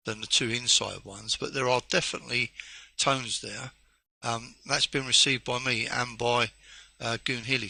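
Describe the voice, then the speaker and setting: A man with a gravelly, very bright voice speaks on an amateur radio net. He explains the technical details step by step in a halting, casual way. The sound is close and dry. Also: mid-range voice, no room sound, low-quality recording. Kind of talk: casual over-the-air chat.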